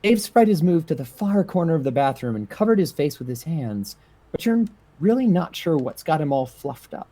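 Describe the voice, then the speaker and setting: Casting: amateur narrator, dark mellow tone, lively intonation, casual read-aloud and chat on an internet call. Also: mid-range voice, moderate pace. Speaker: narrator, stream reader. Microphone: online voice call.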